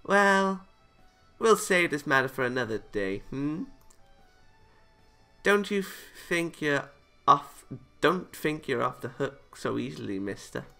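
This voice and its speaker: A man's voice: on the high side, sing-song, takes it slowly, vocal fry, bright, forceful tone, faint music behind, heavily acted. A man voicing a mischievous, teasing teenage girl from an anime visual novel in a coy way. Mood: mock threatening.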